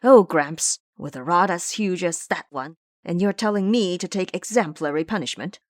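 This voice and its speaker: A woman's voice: on the low side, normal speed, no echo, studio quality, close to an everyday voice. A woman voicing a frightened male temple laborer in an audio drama, anxious and protesting. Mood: panicked protest.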